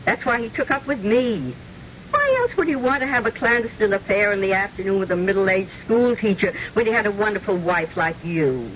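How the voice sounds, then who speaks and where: An actress playing a timid, wistful middle-aged schoolteacher in an old-time radio drama, earnest and theatrical. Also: female voice, mid-range voice, expressive, fairly quick, fairly bright tone, lightly acted. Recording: muffled, noisy vintage radio recording.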